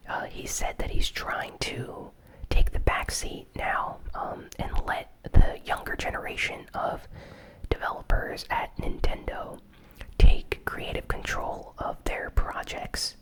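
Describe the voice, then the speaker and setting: An ASMR news host who speaks entirely in a whisper. The delivery is very slow and gentle, with phrases parcelled out softly as the recap goes along. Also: rough recording. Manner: soft, unhurried whispering. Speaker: host of a gaming news show.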